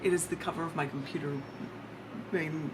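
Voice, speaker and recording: Over clear background noise, a woman speaks in a low-pitched voice as a poet presenting her own work. She moves between chatty introductions and live reading, with deliberate, dramatic pauses. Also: steady tone. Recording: clearly noisy recording.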